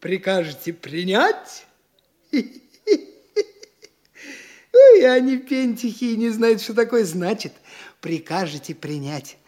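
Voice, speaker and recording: An actor playing a boastful, whining, penniless young dandy in an audio drama, theatrical and excitable, by turns wheedling and petulant. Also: male voice, sits high, slow, long pauses, exaggerated voice. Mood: boastful, pompous.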